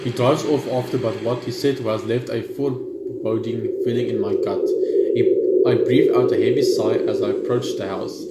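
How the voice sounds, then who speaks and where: A young man hosting a gaming video, reading game text aloud haltingly with casual commentary. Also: medium pitch, steady tone. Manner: halting when reading, stumbles over words. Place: indoor room at home. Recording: roomy echo, noticeable background noise.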